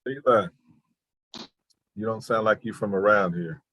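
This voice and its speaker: A man hosting a webinar over a video call, in a relaxed, folksy, chuckling manner. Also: fairly low pitch, a little slow. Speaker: webinar host. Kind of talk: casual chat.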